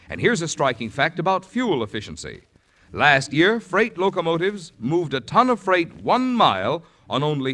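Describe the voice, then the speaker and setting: A man announcer gives a scripted sponsor message in a measured, formal reading. His pitch moves a lot from phrase to phrase. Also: a bit bright. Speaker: radio announcer.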